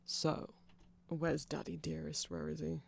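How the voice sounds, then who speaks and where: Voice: mid-range voice, level tone, a little slow, creaky. A person giving a rambling, informal and opinionated ASMR-style explanation as a content creator.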